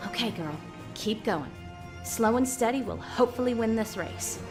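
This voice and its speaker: Woman acting plucky, higher-pitched young woman. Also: lively intonation, moderate pace, natural voice. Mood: careful, persuasive. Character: cautious but plucky young woman, restaurant worker. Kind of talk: fan comic dub character acting. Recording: music playing under voice.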